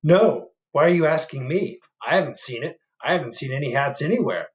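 A man's voice: a little high, expressive, brisk, voice pushed up, lightly acted. A man voicing a shifty rabbit thief in a picture book, protesting defensively.

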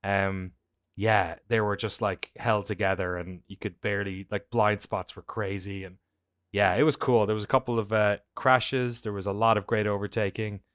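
Clear-voiced Irish man chatting. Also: lowish voice, fairly even intonation, moderate pace, fairly bright tone, dry recording, studio quality. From Ireland. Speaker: podcast co-host. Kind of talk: casual chat, anecdotes. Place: indoor room at home on a video call.

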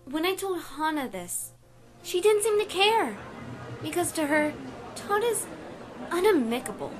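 A woman's voice: very high voice, unhurried, faint music behind, full character voice. A woman voicing an earnest, curious, jumpy young woman who acts and narrates in a webcomic dub. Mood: earnest, fascinated. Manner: earnest, expressive. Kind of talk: dubbed webcomic acting and narration.

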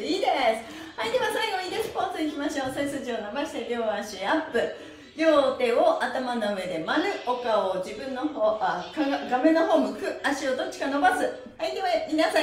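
A middle-aged woman leading a live-streamed exercise class in a cheerful, encouraging way, exclaiming as she instructs. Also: sits high. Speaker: stretch instructor and pantomime teacher. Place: small bare room indoors with wooden floor. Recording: roomy, echoey indoor sound.

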